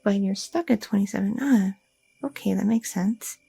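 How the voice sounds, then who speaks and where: A woman playing a husky, gravelly goth girlfriend. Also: mid-range voice, normal speed, no echo. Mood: tender, candid. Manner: soft, intimate, rambling with many fillers. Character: loving, chatty young goth girlfriend. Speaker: ASMR roleplay performer. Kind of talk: ASMR audio roleplay.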